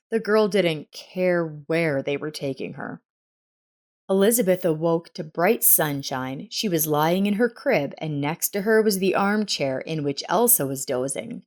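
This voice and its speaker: A woman narrates a scripted family story. Her voice sits a little low for a woman, and she reads as a storyteller would. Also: lively intonation, a little slow.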